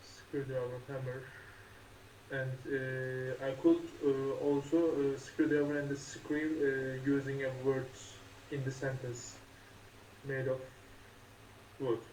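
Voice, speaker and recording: A man in his twenties from Turkey, talking haltingly and searching for words in a casual online conversation practice. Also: mid-range voice, flat delivery, darkish tone, a little hiss or hum. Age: 20s. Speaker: conversation partner. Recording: distant, echoey room with faint ambience.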